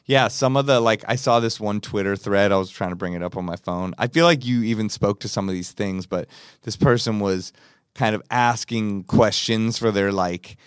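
A man's voice: on the low side, normal speed, clean tone, dry recording, studio quality. A man hosting a podcast, talking in an easygoing, casual way with lots of fillers and agreement. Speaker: podcast host. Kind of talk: casual conversation.

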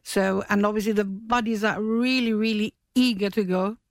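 A woman's voice: mid-range voice, fairly even intonation, normal speed, dry recording, clean studio sound. A woman chatting as a guest in a radio interview. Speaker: radio guest, stage manager. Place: radio studio.

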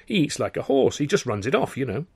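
A man's voice: medium pitch, very animated, fast, darkish tone, breathy edge, no room sound, clean studio sound, pitched above the performer's own voice. A man voicing an earnest young male comedian who is nervous and eager, protesting in a flustered way.

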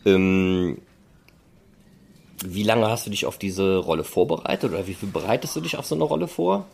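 A man with a fairly deep voice hosts a casual podcast interview. He phrases things informally, with faint noise behind him. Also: animated, normal speed, gravelly, darkish tone.

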